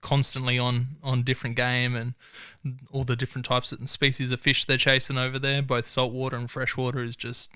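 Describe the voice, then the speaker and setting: A man's voice: medium pitch, completely flat delivery, fairly quick, sharp tone, clean tone, no room sound. A man with an Australian accent hosting a podcast interview, upbeat, friendly and enthusiastic. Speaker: podcast host. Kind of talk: casual interview chat.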